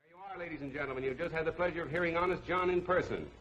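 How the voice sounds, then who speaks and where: An actor playing a suave, glib, unflappable radio detective in an old crime film. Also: male voice, fairly high pitch, rapid-fire, fairly bright tone, lightly acted. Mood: showman. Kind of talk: 1930s film acting. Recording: muffled old film soundtrack.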